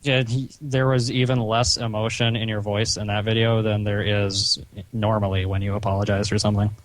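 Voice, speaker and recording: A man talking on a recorded phone call in a stern, chiding, halting way, padding his sentences with "you know". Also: lowish voice, level tone. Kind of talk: phone conversation, reproachful lecturing.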